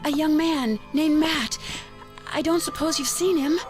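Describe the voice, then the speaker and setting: A woman's voice: high-pitched, measured pace, music in the background. An actress playing a polite, earnest young woman detective in a video game, apologetic and probing. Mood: nervous, apologetic.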